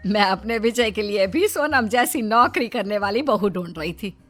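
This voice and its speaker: A woman's voice: mid-range voice, lively intonation, moderate pace, fairly bright tone, faint music behind, lightly acted. A woman voicing a fretful middle-aged mother-in-law in a cartoon story.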